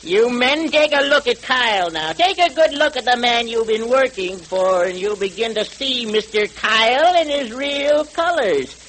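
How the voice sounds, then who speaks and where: An actor in a vintage radio serial playing a coldly vengeful masked avenger, with a theatrical, deliberate, menacing delivery. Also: male voice, very high voice, animated, a bit bright. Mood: stern accusation. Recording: noisy, crackly old radio recording.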